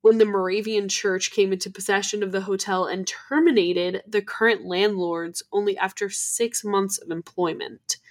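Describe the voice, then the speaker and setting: A woman's voice: medium pitch, steady tone, normal speed, few pauses. Young female co-host, cold-stuffed nasal tone, casual storyteller with lots of fillers. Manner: chatty, many "like" fillers and restarts. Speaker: podcast co-host. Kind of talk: casual chat about ghost stories.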